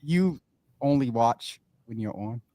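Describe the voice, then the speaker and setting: A man's voice: mid-range voice, animated, slow, warm tone, dry recording, studio quality. A man hosting a live morning show with casual, teasing banter, often repeating himself and talking over his guest.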